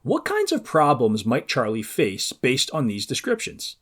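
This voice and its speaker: A man's voice: mid-range voice, huge pitch swings, measured pace, fairly bright tone. A man presenting a book preview for young readers, reading aloud expressively and drawing out words for dramatic emphasis. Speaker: host of a children's book preview show.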